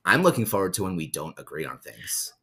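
Deep-voiced man in relaxed chat. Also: a little fast, over a noisy crowd. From New Jersey. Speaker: podcast co-host. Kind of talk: casual chat.

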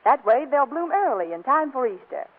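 A woman's voice: high voice, big pitch swings, normal speed. A woman reading scripted 1940s radio commercial dialogue as a spokeswoman, on a muffled vintage broadcast.

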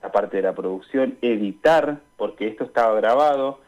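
A man's voice: medium pitch, normal speed, a little mellow. A young Argentine man giving casual, hesitant interview answers as a guest calling in to a radio show. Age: young adult. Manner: hesitant, searching for words. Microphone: remote call to a radio show. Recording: dull, lo-fi call audio.

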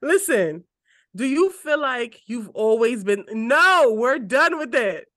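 A fairly high-voiced woman in her twenties, co-hosting a casual podcast chat. She teases her partner, curses freely and laughs often, with an animated, lively delivery. The sound is dry and close to the mic, with no room around it. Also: takes it slowly, dry recording. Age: 20s. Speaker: podcast co-host.

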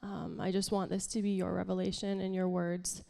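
A woman's voice: lowish voice, little pitch movement, moderate pace, vocal fry, mellow, big-room sound. A young woman pastor giving an informal, candid sermon, sounding congested and nasal, chatty and self-deprecating with lots of fillers. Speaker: pastor and worship leader. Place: church hall.

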